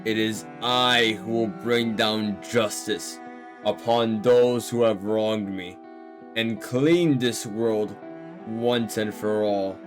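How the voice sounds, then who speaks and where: A man voicing a vengeful, megalomaniac reindeer villain in grave, slow, portentous speech. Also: fairly low pitch, fairly even intonation, over music. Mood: grim, menacing.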